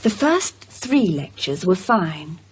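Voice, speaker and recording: An actress playing a composed widow who recounts a troubling past in a measured, articulate way. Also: female voice, lowish voice, sing-song, slight background noise. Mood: measured, serious. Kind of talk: naturalistic radio drama acting.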